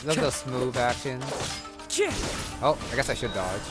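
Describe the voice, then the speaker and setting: Male let's-player, mid-pitched, offhand and low-key, casual commentary under blaring game music. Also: normal speed. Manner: offhand, understated, thinking aloud. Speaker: gamer commentating on own playthrough. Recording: loud game music and combat crashes behind.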